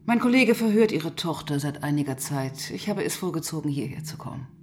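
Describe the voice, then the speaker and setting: A woman playing a composed, probing police inspector who narrates and questions in a measured, matter-of-fact way in a radio crime drama. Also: sits low, lively intonation, a little hiss or hum. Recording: studio radio drama with music.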